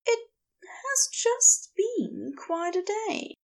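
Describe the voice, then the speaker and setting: A woman plays a guilt-ridden, tender male angel in a story reading. The voice sits high and moves slowly, with hesitant, formal phrasing that sounds anxious and apologetic. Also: big pitch swings, heavily acted. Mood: weary, tentative.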